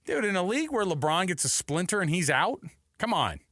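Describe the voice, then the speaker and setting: An American man hosting live sports talk radio, casual, animated and slangy, on a close studio microphone. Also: high voice, brisk, dry recording. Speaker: sports radio show host.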